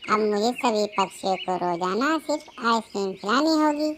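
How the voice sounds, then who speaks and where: A woman's voice: mid-range voice, animated, normal speed, slight background noise, natural voice. A woman voicing a humble, earnest widowed bird who sells ice cream in a children's cartoon, speaking politely. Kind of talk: Hindi children's cartoon voice acting.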